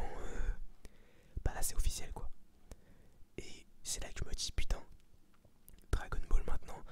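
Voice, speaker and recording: Whispering young man, ASMR. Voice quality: breathy, unvoiced whisper. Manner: slangy, sweary, blunt. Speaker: ASMR creator reviewing a film. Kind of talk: ASMR, informal film review.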